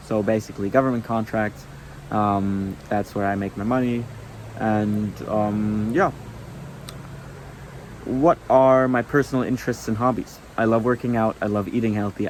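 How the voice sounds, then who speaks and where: A man's voice: lowish voice, slight background noise, poor audio. A young man with a German accent, a German native speaker, talking casually to camera with many ums and restarts. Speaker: vlogger.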